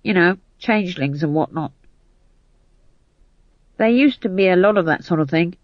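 A man's voice: sits high, lively intonation, normal speed, no echo. A man reading an audiobook, voicing a homely, folksy male dwarf. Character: gruff rustic male dwarf. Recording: dull band-limited audio.

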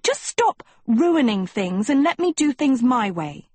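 A woman's voice: high-pitched, animated, slow pace, a little mellow, no echo, voice pushed up. A woman voicing a vain, dramatic teenage girl in a storybook, complaining theatrically and full of huffy self-pity. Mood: huffy, indignant.

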